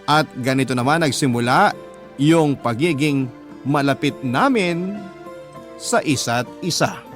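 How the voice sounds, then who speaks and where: A man's voice: medium pitch, lively intonation, a little slow, long pauses, a bit bright, soft background music. An actor playing a sincere, devoted man who narrates his love story for radio, reflective. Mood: earnest, reflective. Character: sincere, devoted male letter-sender recounting past love. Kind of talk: first-person radio drama narration.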